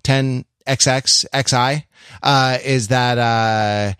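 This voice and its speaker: A man of medium pitch chats casually about films as a podcast panelist. He speaks haltingly, doubling back on phrases while he thinks. The sound is close and dry. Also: fairly even intonation, a bit bright, smooth, no room sound. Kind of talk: casual film discussion.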